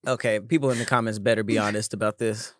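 A man's voice: little pitch movement, quick pace, fairly bright tone, no echo, studio quality. A young man co-hosting a podcast, joking and teasing in casual banter. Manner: joking, teasing, tries deep voices. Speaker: podcast co-host.

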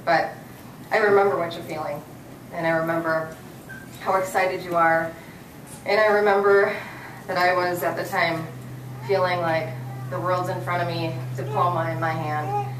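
An American woman in her forties delivering a keynote commencement speech from a podium. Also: lowish voice. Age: 40s. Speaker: keynote speaker. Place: hotel hall, at a podium on stage. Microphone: podium mic, captured by far audience camera. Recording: roomy, distant, noisy auditorium recording.

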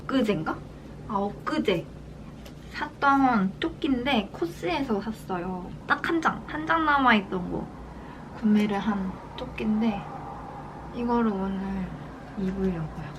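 A young adult woman from South Korea chats casually as a lifestyle vlogger during her daily routine, soft-spoken and unhurried. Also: a little high. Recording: clear background room noise.

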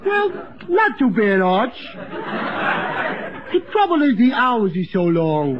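Dim barfly sidekick, high swooping voice, 1950s radio comedy, very noisy old recording. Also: male voice, huge pitch swings, lo-fi sound, exaggerated voice. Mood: dopey, earnest. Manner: dopey, slow-witted. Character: cheerful dim-witted slow barfly pal.

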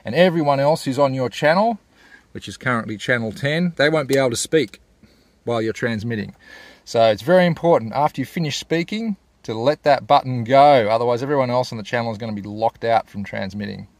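A man's voice: medium pitch, brisk, no room sound. A man, giving a casual, plain-spoken explainer as a vlogger. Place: inside a parked four-wheel drive and outdoors at a bush campsite.

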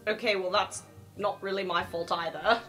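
A woman's voice: medium pitch, fairly even intonation, normal speed, fairly bright tone, soft background music, pitched below the performer's own voice, close to an everyday voice. A woman playing an awkward, apologetic young male student in a tabletop game, speaking hesitantly and earnestly. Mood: nervous, apologetic. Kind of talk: improvised tabletop roleplay.

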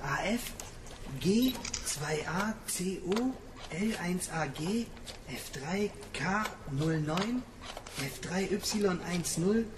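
An actor in a radio play playing a cautious, curious man investigating, with a hushed, urgent manner. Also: sits high, lively intonation, extremely slow, exaggerated voice. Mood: intrigued. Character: cautious, curious male amateur sleuth. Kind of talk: German radio play acting. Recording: distant, noisy ambience.

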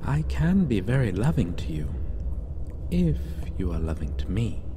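Man voicing a possessive centuries-old vampire, soft controlling whispers, lively pitch, faint hiss behind. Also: normal speed, long reverb tail. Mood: soft whispery. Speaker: ASMR roleplay voice actor. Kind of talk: ASMR roleplay.

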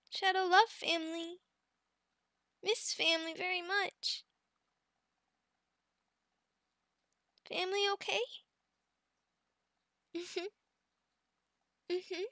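A woman playing a sweet, shy young adult female goblin in an ASMR roleplay, speaking in halting broken phrases and calling herself by name. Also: extremely high pitch, sharp tone, no echo, clean studio sound, exaggerated voice. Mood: eager, happy, then bashful, tender. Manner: halting broken speech, refers to herself in third person, giggly.

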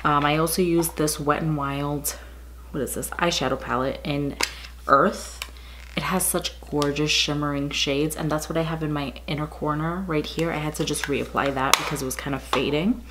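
A woman in her thirties doing a casual makeup tutorial, her voice raspy and a bit muffled from a cold, chatting through each product with frequent ums. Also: low voice, steady tone, moderate pace, background noise. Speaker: beauty vlogger. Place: bedroom with window blinds, indoors.

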